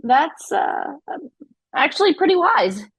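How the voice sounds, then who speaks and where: A woman playing a gentle, tentative mother and soon-to-be ex-wife in an audio play, speaking haltingly. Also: sits high, big pitch swings, no room sound, full character voice, poor audio. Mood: fond.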